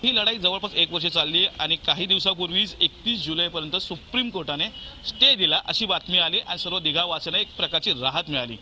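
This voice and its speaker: A man's voice: sits high, sharp tone. A man giving an emphatic, repetitive news report on location as a field reporter. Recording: faint location noise.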